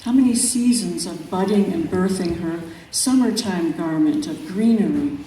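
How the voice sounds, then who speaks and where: A woman poet reading her own work at a live event, in measured, deliberate phrases with pauses. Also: fairly low pitch, dark tone, a little hiss or hum. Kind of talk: poetry reading at a live event, with brief spoken introductions. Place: echoey room at an arts event. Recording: very echoey room, distant mic, music.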